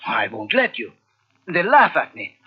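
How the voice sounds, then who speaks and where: Stubborn older husband, husky and excitable, voice jumps around, muffled old radio drama. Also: male voice, fairly high pitch, unhurried, heavily acted. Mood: pleading, stubborn. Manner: earnest, pleading, excitable. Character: earnest, clinging older well-to-do husband, pleading and stubborn. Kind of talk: old-time radio mystery drama acting. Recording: dull old radio recording from cassette.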